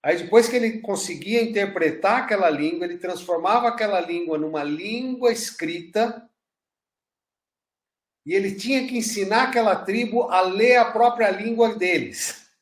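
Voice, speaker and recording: A man's voice: sits high, moderate pace, echoey room. A man, a pastor teaching a church class in a conversational, storytelling way with frequent restarts.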